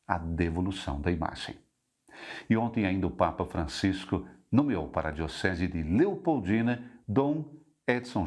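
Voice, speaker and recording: News correspondent guy, really low voice, measured scripted report. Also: a little mellow, slightly rough.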